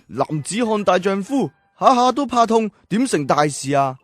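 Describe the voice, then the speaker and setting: A woman's voice: medium pitch, sing-song, normal speed, no echo, faint music behind. A woman playing a proud, determined young boy fighter in a children's radio drama, with a cocky yet friendly boyish manner.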